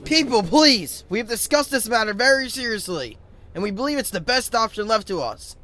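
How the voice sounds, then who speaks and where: A man's voice: sits high, lively intonation, fairly quick, bright, forceful tone. A man playing an earnest creature leader making a formal address in a cartoon audio story. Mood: commanding. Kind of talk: formal announcement. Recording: faint background noise.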